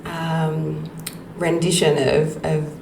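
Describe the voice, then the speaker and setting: Slow, gravelly woman storyteller. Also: deep voice, little pitch movement. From Australia. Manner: slow, reflective, with frequent ums and soft laughs. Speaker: podcast host. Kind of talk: personal storytelling monologue. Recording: echoey room with faint background noise.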